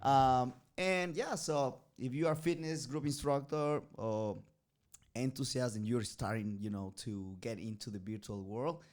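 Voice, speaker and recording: A man from Ecuador hosting a casual podcast, excitable and laughing often, with his volume swinging up and down. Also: mid-range voice, unhurried. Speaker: podcast host.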